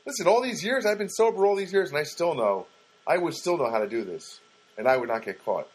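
A man's voice: a little high, expressive, a little fast, breathy edge, poor audio. A middle-aged man casually telling a rambling, wry personal story and acting out what people said. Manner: rambling, wry, acts out quoted lines.

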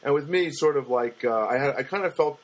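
A man with a booming voice telling a personal story in a casual monologue, halting often with fillers and restarts. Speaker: storyteller recounting a personal loss.

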